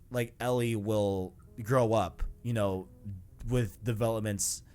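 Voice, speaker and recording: Low-voiced man chatting enthusiastically over music. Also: a little mellow. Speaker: podcast host. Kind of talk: casual video game discussion. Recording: music playing behind the voice.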